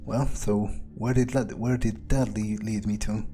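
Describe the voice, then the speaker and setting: A man's voice: on the low side, fairly even intonation, measured pace, over loud music, close to an everyday voice. A man playing a cynical, foul-mouthed vampire in a video game, muttering impatiently as he thinks aloud. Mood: irritated, cynical. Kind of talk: in-character video game playthrough monologue.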